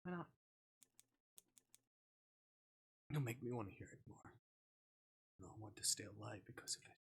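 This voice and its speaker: A man's voice: lowish voice, expressive, fast talker, vocal fry, husky, heavily acted. A man voicing a despairing, bitter young man who is weary of living, in a dramatic visual novel reading. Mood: quietly anguished.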